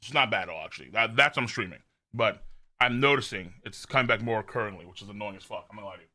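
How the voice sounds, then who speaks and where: A man hosting a livestream, chatting casually in a loud, animated, rambling way with lots of swearing and a slightly strained throat. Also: lowish voice, quick pace. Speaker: live streamer, host. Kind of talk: casual livestream chat, reading viewer messages.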